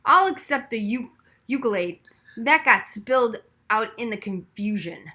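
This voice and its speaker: A woman's voice: a little high, animated, moderate pace, bright, forceful tone. A woman voicing a frazzled male school superintendent in a storybook read aloud.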